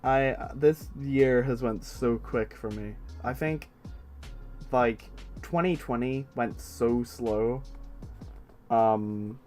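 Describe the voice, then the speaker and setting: A man's voice: medium pitch, slow pace, a little mellow, faint music behind. A man in his twenties chatting casually on a stream, rambling with many fillers and restarts. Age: 20s. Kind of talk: casual chat.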